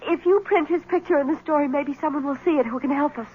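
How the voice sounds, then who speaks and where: An actress playing an anxious, fragile, devoted young wife in a 1970s radio mystery, speaking in a hesitant, pleading way. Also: female voice, high voice, fast, close to an everyday voice. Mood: anxious, bewildered. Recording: tinny, band-limited old radio broadcast.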